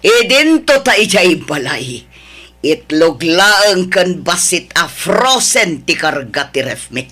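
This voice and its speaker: A woman's voice: lowish voice, very animated, a bit bright, heavily acted. A woman with an Ilocano accent playing a wronged wife who scolds and accuses her husband's mistress. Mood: accusing. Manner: scolding, accusing, slowly emphatic. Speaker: voice actor in an audio drama. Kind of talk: Ilocano audio drama acting.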